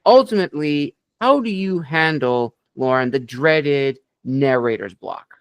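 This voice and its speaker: A man with a fairly high, smooth, clear voice hosts an interview and asks long, winding questions. He praises his guest with eager enthusiasm. The sound is dry and close. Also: animated, unhurried, no echo. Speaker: podcast interview host.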